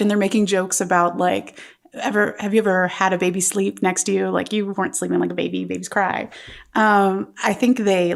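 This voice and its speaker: A woman's voice: medium pitch, vocal fry. A woman in a casual group discussion, thinking aloud as she goes.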